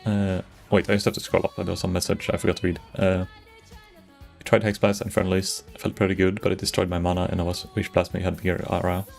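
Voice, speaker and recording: Swedish man, game streamer, very low voice, gravelly fry, rambling chat with faint music behind. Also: level tone, quick pace, a little mellow. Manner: rambling, chatty, greets viewers, frequent restarts. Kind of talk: casual live-stream chat while gaming.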